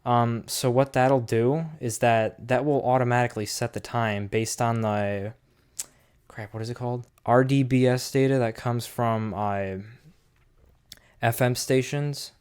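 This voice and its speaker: A man's voice: mid-range voice, steady tone, unhurried, a little mellow, clear, resonant tone. A man giving a casual, unscripted hands-on product review, thinking aloud as he goes in an informal way.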